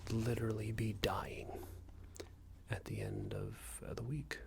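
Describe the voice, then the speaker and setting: A man playing a smug, unreasonable boss in an ASMR roleplay, scolding an employee in a soft breathy whisper with a hesitant, condescending manner. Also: huge pitch swings, unhurried, background noise, heavily acted. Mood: passive-aggressive. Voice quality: breathy soft whisper with low creaky murmur. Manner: hesitant, condescending, meandering reprimand.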